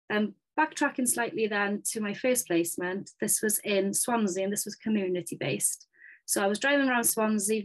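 A woman's voice: medium pitch, low-quality recording. A young woman in her twenties with a Welsh accent, a native Welsh speaker, giving an upbeat, chatty presentation as a physiotherapy student over a video call. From Wales. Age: 20s. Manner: upbeat, chatty, with frequent ums. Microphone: webcam on a video call.

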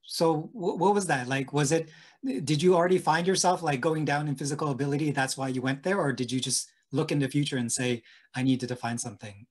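A man's voice: a little high, brisk, husky. A man, hosting an interview podcast. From Canada. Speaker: podcast host. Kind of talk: podcast intro and interview questions.

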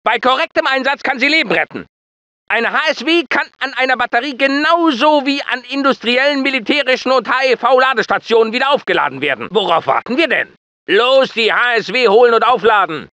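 Casting: bullying game drill sergeant, extremely high-pitched, wildly animated, scornful barking. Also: male voice, fairly quick, no echo, heavily acted. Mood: stern instruction. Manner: barking, taunting, emphatic. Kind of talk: video game training instructions.

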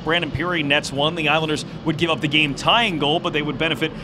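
A man in his thirties hosting a post-game sports show, giving a fluent, brisk recap into a handheld mic. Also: fairly high pitch, steady tone, noisy background. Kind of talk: broadcast sports recap, polished. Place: inside a large hockey arena. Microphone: handheld broadcast microphone.

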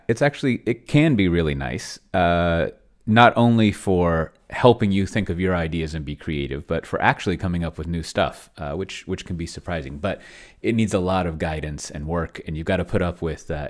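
Man in his forties, low-pitched voice, casual streamer talk, digressive and halting, close-miked in a home office. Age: 40s. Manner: halting, digressive, self-interrupting. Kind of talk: casual rambling livestream talk. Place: small home office with bookshelves. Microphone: large desk microphone up close.

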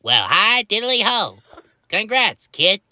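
Man playing comic cartoon townsfolk. Very bright, forceful tone, swooping pitch, exaggerated small-town characters. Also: sing-song, slow pace, no room sound, full character voice. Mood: cheerful. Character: cartoon townsmen: chirpy neighbor, gruff bartender, police dad. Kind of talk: fan-made cartoon voice acting.

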